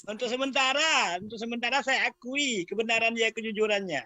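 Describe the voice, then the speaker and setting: A man takes part in an online debate in a casual way. His voice is remarkably high for a man. The sound is dry, with hardly any room. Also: a little fast, sharp tone, dry recording. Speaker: debate participant. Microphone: online group call.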